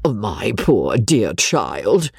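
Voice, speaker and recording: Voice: mid-range voice, huge pitch swings, a little slow, creaky, slightly rough, dry recording, studio quality, heavily acted. A reader voicing a sentimental, nosy old lady, gushing and coaxing, in an audiobook of a classic novel. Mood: gushing sympathy. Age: older.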